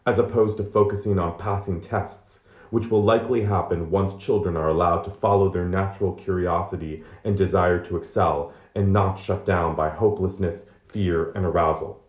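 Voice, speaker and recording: A man's voice: sits low, fairly even intonation, moderate pace, hardly any pauses, lo-fi sound. A man reading nonfiction aloud as narration, in a roomy, echoing recording. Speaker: reader of a book excerpt.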